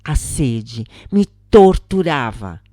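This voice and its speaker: A Brazilian woman reading a scripted account as a dramatic narrator, with solemn, theatrical, deliberate phrasing. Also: very deep voice, sing-song, dark tone, dry recording, clean studio sound.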